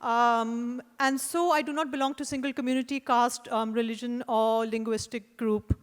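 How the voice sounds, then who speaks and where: A woman with an Indian accent, an artist reading a prepared lecture in a measured, deliberate way, with wry asides and hesitant fillers. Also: on the high side, fairly even intonation, clear, resonant tone. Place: lecture hall at an art event. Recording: long hall reverb.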